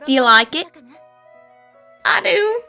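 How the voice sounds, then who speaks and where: A woman playing an earnest, devoted young adult daughter, speaking sincerely and gently in an animated drama fan dub. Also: very high-pitched, slow pace, vocal fry, faint music behind, full character voice. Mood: tender, grateful.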